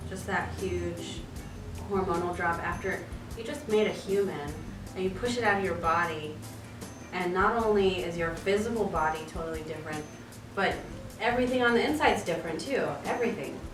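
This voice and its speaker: A woman's voice: on the low side, moderate pace, reverberant, distant mic, music in the background. A woman of almost forty, a mother of two, chatting candidly with frequent laughs. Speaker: panel guest, mother of two. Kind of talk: casual group chat.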